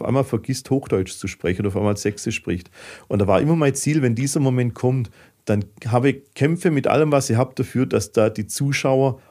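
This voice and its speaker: A man from southern Germany with a Swabian accent, talking casually as a podcast guest in a fidgety, storytelling way. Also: mid-range voice. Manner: fidgety, animated storyteller with dialect.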